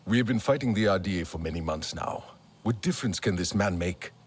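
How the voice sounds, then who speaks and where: A battle-hardened alien warrior in a video game. His voice is deep and husky, with weighty swings in intonation and a stern temper. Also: lively intonation, a little fast. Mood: skeptical. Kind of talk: cinematic video game dialogue.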